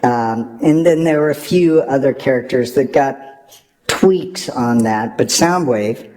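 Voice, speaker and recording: A man speaking as a sound engineer on a panel, explaining technical work in a measured, halting way. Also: medium pitch, darkish tone, rough recording.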